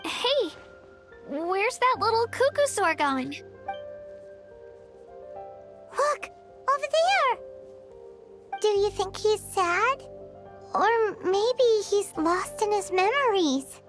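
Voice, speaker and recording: An innocent little game companion, extremely high in pitch. The delivery is unhurried, cute and full of childlike curiosity, with faint music behind it. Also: female voice, big pitch swings, a bit bright, full character voice. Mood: excited. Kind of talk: animated game dialogue.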